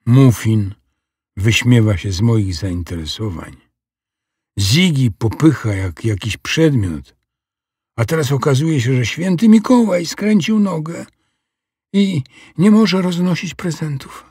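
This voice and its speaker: A man's voice: medium pitch, takes it slowly, a little mellow, slightly rough, no echo, studio quality, pitched above the performer's own voice. A man voicing an upset, scolding little girl in a children's audio story, with stern, emphatic delivery. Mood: exasperated.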